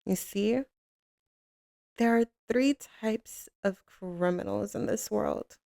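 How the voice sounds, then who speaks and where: A woman playing a tough, commanding mafia boss with a tender side for a lover, in an intimate ASMR roleplay, with a gravelly, creaky voice and a cool drawl. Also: mid-range voice, dark tone, no room sound, clean studio sound. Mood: measured, philosophical. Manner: cool, unhurried, commanding drawl with pet names.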